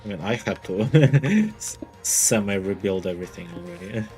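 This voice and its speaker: A man's voice: on the low side, lively intonation, normal speed, a little mellow. A man streaming a game, chatting casually with a friend in a dry, joking way and laughing often. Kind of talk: casual gaming chat. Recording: music playing behind.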